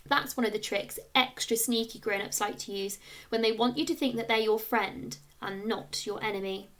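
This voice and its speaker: A woman narrates a children's book in a fairly high voice. She reads like a teacher to pupils, expressively and with storytelling emphasis. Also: moderate pace, fairly bright tone. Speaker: teacher reading aloud.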